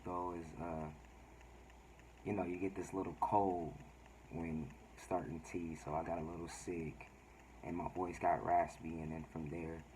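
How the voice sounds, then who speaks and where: Low-voiced man giving a casual update. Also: slight background noise, low-quality recording. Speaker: vlogger. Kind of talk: casual personal update to camera.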